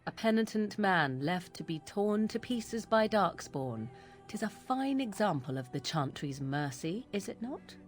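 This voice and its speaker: A woman's voice: mid-range voice, sing-song, unhurried, faint music behind. A woman playing a haughty, mocking sorceress in a video game, with archaic, sardonic, needling phrasing. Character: haughty, mocking wild sorceress with a sly tongue.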